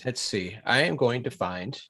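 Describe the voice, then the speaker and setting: A man chatting casually as co-host on an online call, with a halting, hesitant delivery. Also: medium pitch, animated, sharp tone. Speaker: show co-host. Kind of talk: casual live-stream chat.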